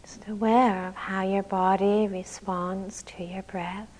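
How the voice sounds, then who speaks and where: Calm woman guiding a meditation. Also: mid-range voice, slight background noise, poor audio. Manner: calm, gentle, unhurried instruction with long pauses. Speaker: meditation teacher. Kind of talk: guided meditation.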